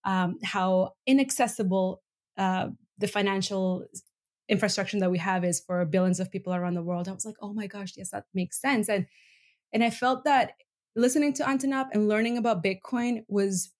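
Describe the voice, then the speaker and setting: A woman of middle pitch talking earnestly and thoughtfully as a podcast guest. Her tone stays level and conversational, with frequent ums as she searches for words. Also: fairly even intonation, normal speed, darkish tone. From Venezuela and Bolivia. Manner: earnest, reflective, with filler ums. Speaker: podcast guest, human rights advocate. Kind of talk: casual interview conversation.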